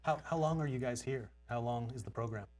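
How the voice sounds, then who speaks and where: A man's voice: mid-range voice, a little fast, a little mellow. A man hosting a studio interview on public television, polite and welcoming. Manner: polite, friendly. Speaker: TV host.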